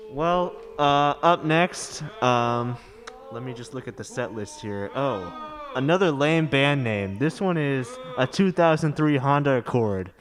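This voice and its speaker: A snide radio-play emcee, acted by a young man, with a voice that swings widely in pitch. He is sarcastic and dismissive, playing to the crowd. The sound carries a long hall reverb. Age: young adult. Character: snide, heckling battle-of-the-bands emcee. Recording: echoey hall reverb, faint noise.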